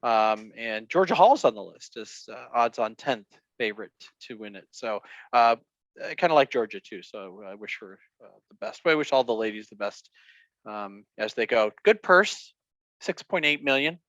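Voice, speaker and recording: Male podcast co-host, mid-pitch, hesitant, a dull and dry lo-fi recording. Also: animated, moderate pace, a bit bright, no room sound. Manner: hesitant, many fillers. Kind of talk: casual sports chat.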